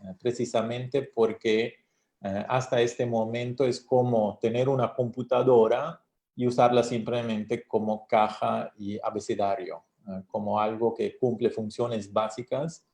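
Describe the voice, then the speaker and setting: A man from Switzerland whose first language is not Spanish, a coach speaking as a radio interview guest with frequent pauses and fillers. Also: medium pitch, level tone, darkish tone. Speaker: guest, brain-training coach.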